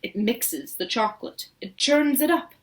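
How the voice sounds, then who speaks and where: A woman's voice: fairly high pitch, lively intonation, unhurried, distant mic, pitched above the performer's own voice. A woman voicing an eccentric, boastful male chocolate maker, proud and showy in manner.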